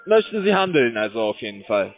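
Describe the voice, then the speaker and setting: Guy on a phone scam call, broken grammar, pushy and hesitant, mid pitch, sounds like a cheap phone line. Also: very animated, a little fast. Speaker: scam call center caller.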